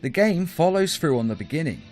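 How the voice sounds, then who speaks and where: A man's voice: on the high side, very animated, fairly quick, a little mellow. A man hosting a countdown video, reading scripted commentary in an emphatic, explanatory way. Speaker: host of a top-five countdown video. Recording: music behind the voice.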